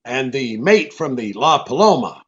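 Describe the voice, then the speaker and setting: An actor playing a shrewd, hard-boiled private detective in old time radio style, clipped, wry and commanding. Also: male voice, medium pitch, sing-song, a little slow. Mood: brisk, wry. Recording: slightly dull video-call audio.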